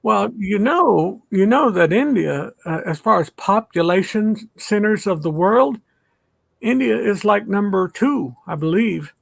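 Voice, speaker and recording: Retired pastor host, older man, high gravelly voice, dry close sound, hesitant and rambling. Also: expressive, a little slow, fairly bright tone, no room sound. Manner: rambling, hesitant, many fillers. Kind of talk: casual online chat.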